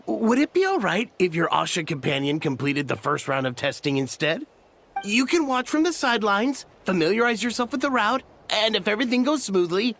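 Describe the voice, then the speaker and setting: A man's voice: sits high, sharp tone, full character voice. A courteous, cautious male staffer with a formal, tactful delivery. His intonation moves expressively in game-dialogue style, with faint ambient noise behind. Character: polite, cautious, apologetic male resort staffer. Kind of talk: video game voice acting.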